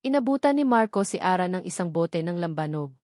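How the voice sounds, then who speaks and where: A woman's voice: on the low side, expressive, a little fast, a little mellow, no echo. Filipino woman narrating romance, dry sound. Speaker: story narrator. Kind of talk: dramatic scripted narration of a romance story.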